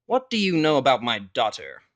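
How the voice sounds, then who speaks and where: A man's voice: mid-range voice, very animated, normal speed, a bit bright. A man voicing a wealthy male pony businessman who speaks in a demanding, formal, threatening way in an audio story. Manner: confrontational, formal, menacing.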